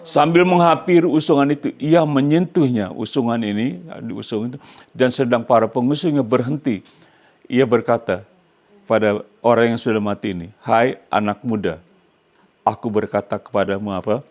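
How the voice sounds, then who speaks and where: A man's voice: lowish voice, steady tone, moderate pace, darkish tone. An older man from Indonesia with an Indonesian accent, a pastor preaching to a congregation in an emphatic way, often prompting them with questions. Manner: emphatic, asks the congregation questions to complete his phrases. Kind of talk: sermon to a congregation.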